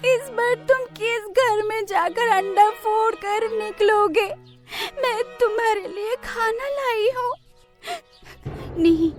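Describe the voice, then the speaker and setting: Very high-pitched little mother bird. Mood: distraught. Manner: earnest, plaintive, theatrical. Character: small, anxious, devoted mother bird. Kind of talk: cartoon moral story voice acting.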